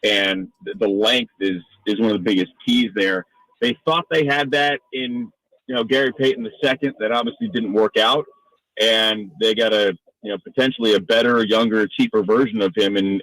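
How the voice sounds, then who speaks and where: Low-pitched man in a dry radio interview. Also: brisk, fairly bright tone, dry recording, rough recording. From Northeastern United States. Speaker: basketball play-by-play radio announcer, interview guest. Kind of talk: conversational sports radio interview.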